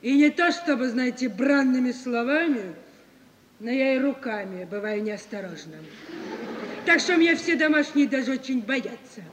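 A woman's voice: high-pitched, animated, normal speed. An actress playing a coy yet bossy rich provincial merchant woman with folksy, uneducated phrasing in a 1950s Soviet radio play. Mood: demanding. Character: coquettish, blunt rich provincial merchant woman seeking a husband. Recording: old hiss, long hall reverb.

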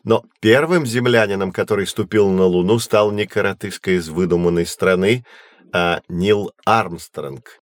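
Low-voiced patient astronomy guide, man. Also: dry recording. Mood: explanatory, patient. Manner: measured, explanatory, reassuring to children. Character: patient knowledgeable adult man guiding children through astronomy. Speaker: teacher-guide in educational audio drama. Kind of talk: scripted educational audio drama, explanatory.

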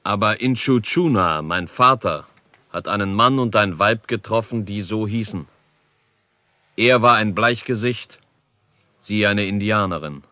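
Winnetou, bright-voiced, deliberate chief. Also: male voice, on the low side, no echo. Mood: grave. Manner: grave, formal, deliberate. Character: Winnetou, proud dignified Apache chief. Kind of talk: German radio drama acting.

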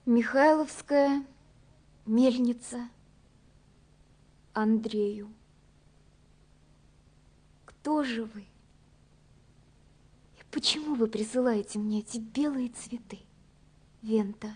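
A woman's voice: fairly high pitch, slow pace, long pauses, a little mellow, slightly rough. An actress playing a dreamy, wondering young woman reading her letters in a lyrical, wistful radio play. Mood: wistful puzzlement. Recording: 1970s radio production with music. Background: music.

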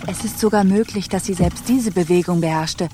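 Actress as stern, low-voiced woman aboard a pirate ship. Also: fairly quick, lightly acted. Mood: dry, skeptical. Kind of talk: radio play acting. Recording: noisy radio play ship soundscape.

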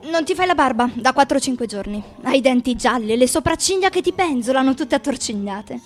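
Actress playing frank woman lover, fairly high voice, intimate, deliberate, fond, radio drama, faint music underneath. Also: natural voice. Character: frank woman fondly cataloguing her slovenly lover's squalor. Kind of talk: radio theatre monologue.

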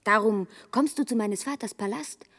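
A flirty troll princess. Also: female voice, medium pitch, moderate pace, fairly bright tone. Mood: boastful. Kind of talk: classic radio play acting.

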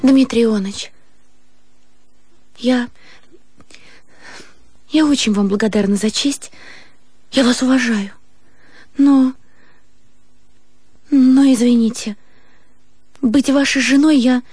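Young woman, high pitch, dry sound, emotional, halting, ambitious, radio play. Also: a little mellow, slightly rough, no echo, heavily acted. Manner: emotional, halting, breaking off and repeating words. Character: young woman, artistic, ambitious, playful, refusing a suitor. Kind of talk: theatrical radio drama acting.

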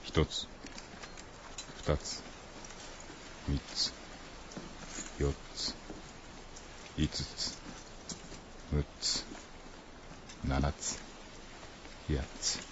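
An actor playing a gruff, rough-tongued, self-sacrificing adventurer in a radio drama, with coarse and blunt speech. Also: male voice, rumbling low, completely flat delivery, drawn-out words, pauses often, background noise, heavily acted. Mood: tense, hushed. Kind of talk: Japanese radio drama acting. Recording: dull band-limited audio under loud music.

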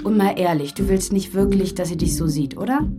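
A woman's voice: medium pitch, brisk, far from the mic, over loud music, natural voice. A young woman acting a loyal, peacemaking teenage girl in a German radio drama, speaking in an earnest, coaxing way.

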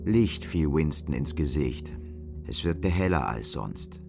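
A man's voice: a bass voice, expressive, slow, gravelly, over music. A man narrating a scripted literary radio drama.

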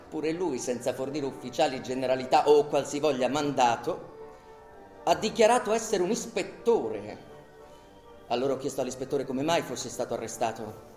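A man's voice: a little high, a little mellow, close to an everyday voice. An actor playing an indignant accused man in a radio drama, declaiming rhetorically and sarcastically to a tribunal. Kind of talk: theatrical radio drama monologue. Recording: roomy echo, music behind.

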